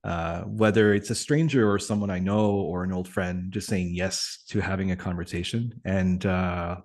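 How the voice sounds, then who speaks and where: A man from Atlantic Canada whose first language is French, talking as a guest on a video call, reflective and pausing often with fillers. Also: low voice, fairly even intonation, a little mellow. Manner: thoughtful, many pauses and fillers. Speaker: guest, community facilitator. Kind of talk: reflective interview conversation.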